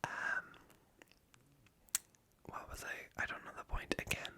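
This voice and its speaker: A woman with a light Irish accent whispers a breathy, self-conscious, stream-of-consciousness ASMR ramble. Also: takes it slowly, pushed and bright. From Ireland. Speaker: ASMR video creator.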